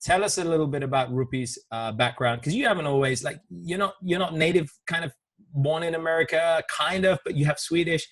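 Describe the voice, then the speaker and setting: A man's voice: on the high side, a little fast, fairly bright tone. A male host asking long, rambling questions in a jovial, laughing interview manner. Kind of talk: casual interview talk.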